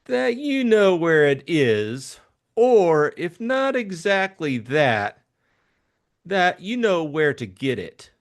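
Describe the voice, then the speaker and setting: Here is a suave, effete gentleman schemer from a hard-boiled audiobook, played by a man. His voice sits high and moves slowly, with swooping intonation. He is formal, precise and evasive, polite to a fault. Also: sing-song, exaggerated voice. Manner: formal, mannered, over-polite phrasing.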